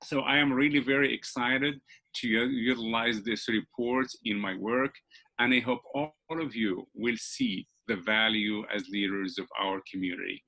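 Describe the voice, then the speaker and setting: A man from Morocco with a Moroccan accent, a legislative staffer giving formal, earnest, slightly halting remarks at an online round table. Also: medium pitch, fairly even intonation, pushed and bright, low-quality recording. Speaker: state legislature staff member.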